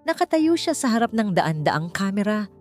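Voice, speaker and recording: A woman reads scripted, dramatic romance narration in a measured manner. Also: medium pitch, very animated, darkish tone. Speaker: story narrator. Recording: music behind the voice. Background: background music.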